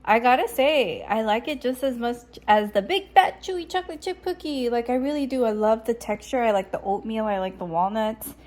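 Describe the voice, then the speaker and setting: A young woman chatting casually to her vlog audience in a friendly, upbeat way, her voice a little hoarse. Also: fairly high pitch, a little fast, hardly any pauses, darkish tone. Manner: chatty, upbeat, says "girl" and "y'all". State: slightly hoarse. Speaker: vlogger. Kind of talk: casual vlog chat to camera.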